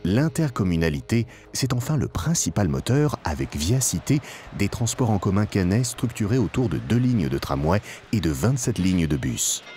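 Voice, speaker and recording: A man with a low voice narrates a polished institutional film. His intonation moves widely while the delivery stays formal and measured, stressing key phrases. Light music plays behind him. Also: very animated, dark tone. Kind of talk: scripted promotional documentary narration. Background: background music.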